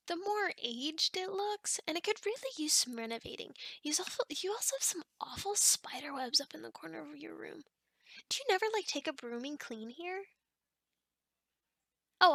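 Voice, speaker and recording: A woman's voice: sits high, bright, forceful tone, no room sound, heavily acted. A woman playing a chatty, fussy sleep paralysis demon in an ASMR roleplay, rambling casually and criticizing playfully straight to the listener. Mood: fussy, critical.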